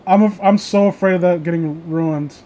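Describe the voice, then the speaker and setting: A man with a high voice talks casually among friends. He rambles through his opinions and swears freely as he goes. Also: fairly even intonation, normal speed, dark tone, a little hiss or hum. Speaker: host of a reading show.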